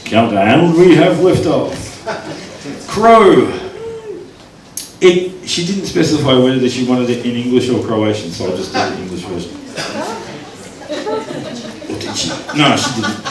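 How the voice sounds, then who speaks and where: A man's voice: mid-range voice, animated, a little slow, pauses often. Man reading poetry at an open mic. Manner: wry asides, counts down his time aloud. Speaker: poet performing. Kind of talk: live spoken-word poetry reading. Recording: echoey room, distant mic, audience.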